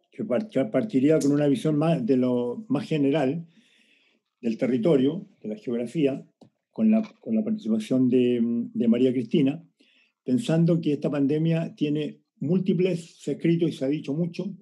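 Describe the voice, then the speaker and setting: A man moderates an online academic panel in a very dark, soft-edged voice. His tone is husky and mid-pitched, and he speaks haltingly, repeating words as he builds his sentences. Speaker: forum moderator. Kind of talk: unscripted introduction at an academic online forum. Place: remote online video forum.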